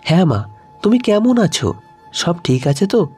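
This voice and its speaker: A man's voice: sits high, big pitch swings, measured pace, darkish tone, breathy edge, faint music behind, voice pushed up, heavily acted. A man voicing a caring, anxious young woman officer in a story, questioning tenderly and urgently. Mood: affectionate.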